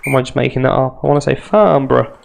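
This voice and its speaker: Bunged-up nasal gamer. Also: male voice, medium pitch, rapid-fire, gravelly, background noise. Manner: chatty, self-mocking, wry asides. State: congested from a cold. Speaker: gaming youtuber. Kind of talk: casual let's play commentary.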